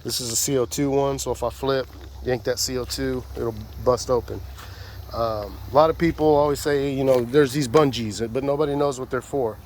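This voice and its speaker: A man's voice: mid-range voice, steady tone, normal speed, a little mellow, slight background noise. A man with a congested, stuffy voice, casually walking through his fishing gear outdoors in a rambling, folksy way. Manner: rambling, folksy, many fillers. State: congested from a sinus infection. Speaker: fishing vlogger.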